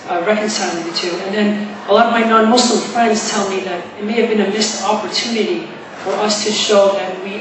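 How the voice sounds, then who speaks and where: Higher voiced guy asking the speaker a question, earnest, far-off echoey sound. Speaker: audience questioner. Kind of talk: question from the floor. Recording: echoey hall, distant mic, noisy.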